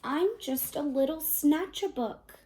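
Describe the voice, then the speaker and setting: Woman voicing a tiny, high, mournful storybook creature. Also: dark tone, rough recording. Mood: sorry, pleading. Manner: meek, mournful, drawn-out pleading. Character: small, sad, apologetic male book-stealing creature.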